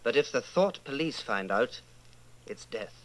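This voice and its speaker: An actor playing a brooding, fearful middle-aged secret rebel, speaking an intense, halting inner monologue in a radio drama. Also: male voice, mid-range voice, lively intonation, a little hiss or hum, lightly acted, poor audio. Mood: anxious. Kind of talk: old-time radio dramatization, interior monologue.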